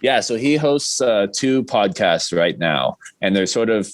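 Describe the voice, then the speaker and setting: A man with a fairly low voice talks casually as a guest on a podcast. He rambles in a self-deprecating way, and the sound is close and dry. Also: expressive, unhurried, no echo.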